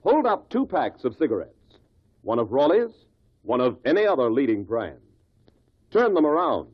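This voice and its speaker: An American man reading a punchy scripted 1950s radio commercial as an announcer, with a husky voice. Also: medium pitch, big pitch swings, normal speed. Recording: dull lo-fi 1950s radio recording.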